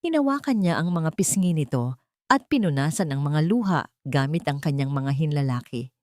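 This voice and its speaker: Woman narrator, low pitch, dramatic scripted romance reading, emotive deliberate phrasing, dry studio-like sound. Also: animated, darkish tone, no echo. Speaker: story narrator.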